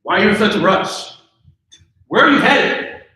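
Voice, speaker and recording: A jittery, suspicious young boyfriend, acted by a man at a script read, with a high voice and a sharp, bright edge. He sounds exclamatory and is picked up from afar in a very echoey room. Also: animated, normal speed, far from the mic. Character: Kevin, jittery suspicious young boyfriend covering up his alarm. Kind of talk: table read of comedic short film script. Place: live table read at an event in a room.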